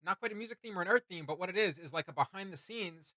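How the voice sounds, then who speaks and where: Guy reacting to stuff, really bright forward voice, bit high, casual, keeps searching for words. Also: fast talker, dry recording. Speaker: reaction video host.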